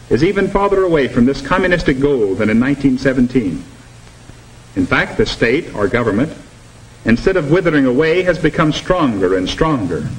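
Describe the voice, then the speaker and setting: An actor with an American accent playing an earnest, didactic instructor in a 1950s educational propaganda film. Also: male voice, mid-range voice, close to an everyday voice. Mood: stern, didactic. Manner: didactic, measured. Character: earnest male anti-communist instructor lecturing students. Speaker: instructor presenting a lesson. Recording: noisy old 1950s film soundtrack.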